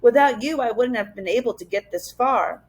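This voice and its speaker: A man's voice: very high voice, normal speed. A woman voicing a kindly, brave older male professor from a storybook, with emphatic, formal old-fashioned phrasing. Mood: tender, resolute.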